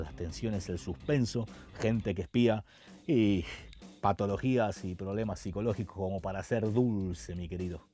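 Actor as low, mellow Argentine slacker co-host. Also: male voice, natural voice. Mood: boastful, chummy. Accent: Argentine Rioplatense. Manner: chummy, rambling street slang. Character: slangy, irreverent male slacker posing as film expert. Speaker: radio show co-host. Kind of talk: comedic radio theatre banter.

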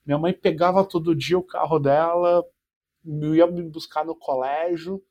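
Mellow-voiced man telling his story. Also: a little high, measured pace, dull audio. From Brazil. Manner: relaxed storytelling with self-deprecating jokes. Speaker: startup founder, guest. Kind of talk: podcast interview.

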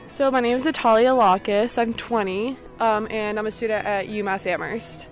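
A woman's voice: mid-range voice, steady tone, normal speed, faint music behind. A young woman speaking casually in an interview.

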